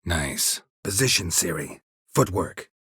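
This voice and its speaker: A man voicing a gruff, stoic, world-weary monster hunter in a video game, speaking tersely in a husky rasp with dry, deadpan understatement. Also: deep voice, slow pace, no echo, full character voice. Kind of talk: video game character dialogue.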